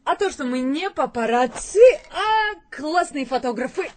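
A woman's voice: high-pitched, animated, a little slow, a little hiss or hum. An actress playing a wary, proud woman street photographer in a radio drama, guarded and insistent. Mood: proud, defensive. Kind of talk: Russian radio drama acting.

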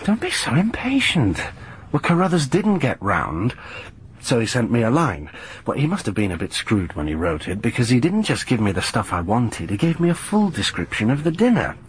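An actor playing a chatty young reporter who tells a story in a lively, rambling way. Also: male voice, mid-range voice, moderate pace, a little hiss or hum. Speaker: journalist.

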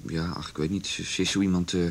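An actor playing an earnest young son in a radio drama, telling what he witnessed in a halting, sincere, plain-spoken way. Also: low-pitched, fairly even intonation, gravelly, breathy edge, heavily acted. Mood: uneasy, earnest. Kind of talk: naturalistic radio drama dialogue.

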